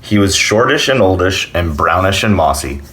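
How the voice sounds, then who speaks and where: Deep, animated man reading a storybook. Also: normal speed, rough recording. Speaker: school staff member reading aloud.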